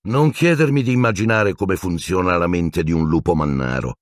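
A man's voice: deep voice, normal speed, no room sound, studio quality, natural voice. A man voicing a vindictive, sneering male schoolteacher unhinged with rage in a storybook reading, menacing, hissing and shrieking.

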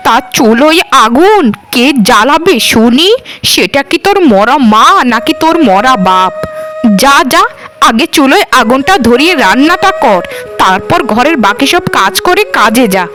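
A cruel aunt from a cartoon , acted by a woman, scolding with open scorn. Her voice sits high and swings widely in pitch as she harangues and mocks the girl under her thumb. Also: lively intonation, moderate pace, music in the background. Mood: sarcastic scolding. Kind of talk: Bengali cartoon dialogue.

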